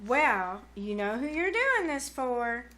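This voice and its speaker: A woman's voice: on the high side, sing-song, slow pace, pushed and bright, full character voice. A woman playing a bossy, scheming teenage girl in old-time radio comedy style, emphatic and wheedling. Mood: persuasive. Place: live on a small theater stage.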